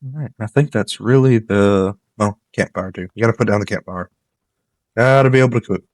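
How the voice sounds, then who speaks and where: A man giving relaxed, rambling commentary on a game he plays, with a slightly nasal voice because he is coming down with a cold. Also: fairly low pitch, a little fast, no room sound. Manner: relaxed, rambling, gives tips while playing. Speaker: gameplay commentator. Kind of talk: casual let's-play commentary.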